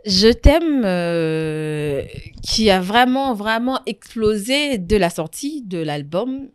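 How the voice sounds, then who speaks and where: A woman's voice: medium pitch, animated, slow, smooth. A woman hosting an interview, asking questions and giving short acknowledgements. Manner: brief backchannel replies. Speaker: interviewer host. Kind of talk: casual interview chat.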